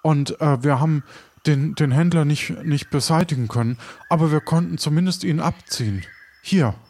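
A man's voice: a little high, moderate pace, a little mellow, natural voice. A man playing an earnest, awkward adventurer in an improvised audio drama, speaking hesitantly and politely. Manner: hesitant, polite, tag questions. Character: Sören, earnest, awkward young male pirate adventurer. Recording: faint background noise.

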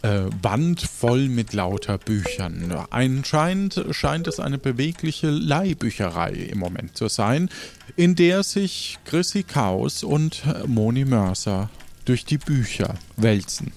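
A man narrating an improvised pirate adventure as game master, pausing as he invents the scenes. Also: medium pitch, big pitch swings, darkish tone.

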